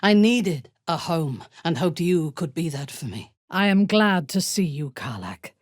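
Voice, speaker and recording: A woman playing a haughty, ruthless dark elf warrior in a fantasy video game, speaking in a measured, imperious, formal way with a breathy, husky voice. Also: lowish voice, animated, no room sound, clean studio sound. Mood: solemn, sincere. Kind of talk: scripted video game character lines.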